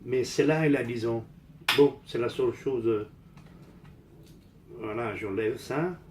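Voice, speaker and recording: A man's voice: medium pitch, unhurried, darkish tone, a little hiss or hum. An older man from Italy speaking French with an Italian accent, rambling casually and bluntly while doing repairs, repeating phrases for emphasis. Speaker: amateur DIY vlogger. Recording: roomy echo.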